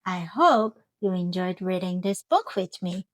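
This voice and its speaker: A woman reading a children's storybook aloud as host, with expressive, drawn-out phrasing. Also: on the low side, dry recording, studio quality.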